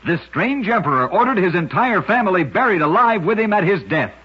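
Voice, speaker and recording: A man hosting an old-time radio show, narrating short scripted oddity tales in a husky, rough-edged voice. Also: sits high, expressive, a little fast. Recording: dull, lo-fi old radio recording.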